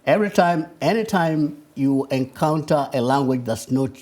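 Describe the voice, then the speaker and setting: A man's voice: a little high, moderate pace, a little mellow. A man from Tanzania, a guest on a studio panel, talking thoughtfully with frequent restarts and repeated words. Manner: hesitant, restarts and repeats words while building a point.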